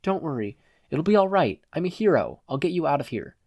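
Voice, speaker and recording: A narrator voicing a teenage boy hero who is cocky and playful but gently reassuring, with a casual, earnest manner. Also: medium pitch, big pitch swings, fairly quick, no echo, clean studio sound, voice pushed up. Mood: gentle, reassuring. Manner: casual, nonchalant, softening to earnest.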